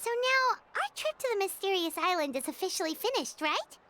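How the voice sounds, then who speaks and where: A woman voicing a small, chirpy companion creature in a video game, with a high, bouncy, squeaky voice. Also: very animated, a little fast, background noise. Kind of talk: game voice acting.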